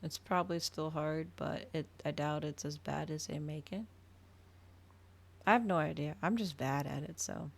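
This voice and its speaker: Low, creaky-voiced woman chatting on stream. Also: normal speed. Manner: rambling, trailing off, thinking aloud. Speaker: livestreamer hosting an ASMR and gaming channel. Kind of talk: casual livestream chat to viewers.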